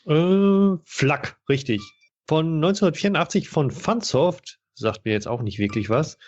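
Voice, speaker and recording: A man's voice: a little high, animated, measured pace. A man in a casual podcast discussion of retro video games, talking in an informal, explanatory way. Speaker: podcast panelist. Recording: dull, band-limited sound.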